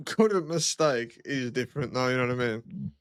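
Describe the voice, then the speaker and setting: A man, casually chatting as a podcast co-host, relaxed and swearing freely, with a low throaty voice. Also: moderate pace, smooth, no room sound. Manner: laid-back, swears a lot, lots of "you know what I mean". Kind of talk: casual chat.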